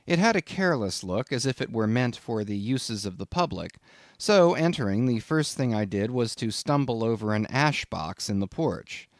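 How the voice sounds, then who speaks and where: A man reading a literary audiobook as scripted narration, in an expressive, measured storytelling manner. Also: mid-range voice, no echo.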